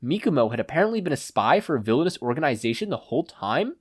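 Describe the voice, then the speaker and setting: An expressive story narrator at a medium pitch, reading scripted fiction. The delivery moves around a lot in pitch, as in an audiobook. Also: fast, breathy edge. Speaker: podfic reader.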